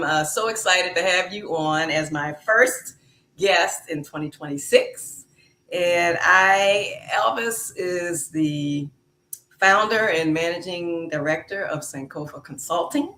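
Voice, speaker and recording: Slow-speaking woman podcast host. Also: fairly low pitch. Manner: slow, warm-hearted, with many fillers. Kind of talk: casual podcast chat. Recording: echoey room.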